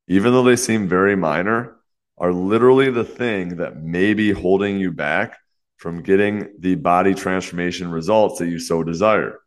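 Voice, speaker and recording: A young man with a congested, slightly nasal voice, hosting a solo fitness podcast in an earnest, quick manner with frequent "you know" fillers. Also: low-pitched. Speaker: podcast host and fitness coach.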